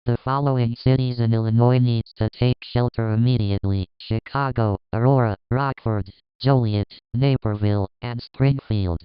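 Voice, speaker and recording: A man playing a local news reporter, reading a scripted bulletin in a measured, formal way. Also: medium pitch, steady tone, smooth, dry recording, dull audio, lightly acted. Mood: measured, serious. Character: male local TV news reporter announcing a disaster.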